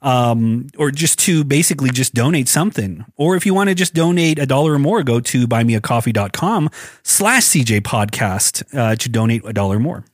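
Joking podcast co-host, rambling. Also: male voice, mid-range voice, expressive, a little fast. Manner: joking, laughs often, rambling fillers. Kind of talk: casual chat. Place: podcast studio.

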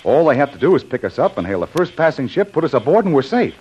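A male actor playing a bold, insistent adventurer hero in an old radio serial, brisk and commanding. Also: fairly high pitch, very animated, a little mellow. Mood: urgent, insistent. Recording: muffled, husky old radio recording.

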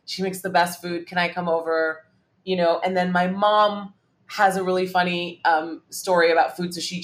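Woman in her 40s, hong kong-raised, low-ish voice, rambly storytelling, keeps restarting bits. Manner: chatty storyteller, often restarting phrases. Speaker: podcast guest. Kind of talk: casual interview chat.

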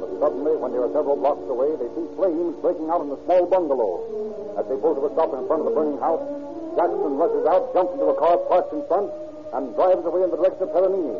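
Quick-talking male radio drama narrator. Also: on the high side, lively intonation, music in the background. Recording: tinny old radio recording.

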